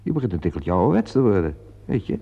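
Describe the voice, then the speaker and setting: A man's voice: low voice, sing-song, fast, vocal fry, full character voice. A man playing a cool, sardonic theatrical agent in a radio play, dry, teasing and unruffled. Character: cool, sardonic impresario of a young actress. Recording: dull old recording, long reverb.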